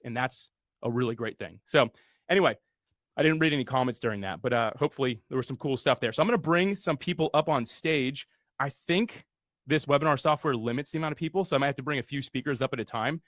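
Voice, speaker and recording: A man's voice: mid-range voice, expressive, quick pace, fairly bright tone, no room sound, clean studio sound. A man hosting a webinar launch party, speaking earnestly and motivationally with casual fillers. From USA. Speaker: host.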